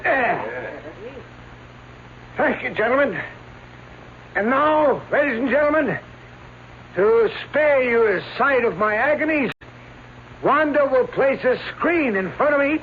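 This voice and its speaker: A man's voice: extremely high pitch, animated, slow pace, long pauses, full character voice. An actor playing an elderly male stage escape artist who talks in grand, theatrical showman patter, in vintage radio drama acting. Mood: coaxing. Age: older. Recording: tinny old radio recording with hiss.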